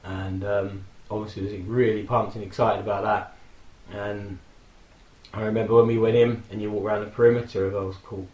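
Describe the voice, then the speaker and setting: Hoarse man reminiscing in an echoey room. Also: fairly low pitch, flat delivery, brisk, a little mellow, muffled recording. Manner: rambling, reminiscing, with frequent laughs and fillers. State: hoarse from a throat infection. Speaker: vlogger. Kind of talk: casual personal tribute monologue.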